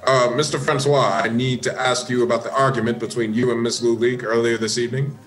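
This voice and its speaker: An actor playing a polite, probing American police inspector with measured, courteous questioning in a radio play. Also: male voice, medium pitch, level tone, fairly bright tone, faint music behind, lightly acted. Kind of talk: radio play detective dialogue.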